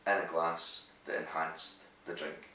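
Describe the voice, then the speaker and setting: A Scottish man with a Scottish accent, a company director answering interview questions in a brisk, matter-of-fact way with dry humor. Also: extremely low pitch, completely flat delivery, pushed and bright, slight background noise. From Scotland. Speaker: managing director. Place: glassworks showroom. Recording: echoey room, voice a bit far from mic.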